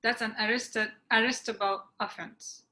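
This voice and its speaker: A woman's voice: on the high side, steady tone, normal speed, bright, forceful tone. A woman voicing a police officer giving firm orders in a script reading. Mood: stern. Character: stern police officer on ferry. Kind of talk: readers theatre script reading.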